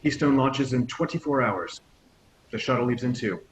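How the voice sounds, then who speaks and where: A man's voice: mid-range voice, moderate pace, natural voice, poor audio. A man playing a stoic starship captain giving a formal address at a table read. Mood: commanding.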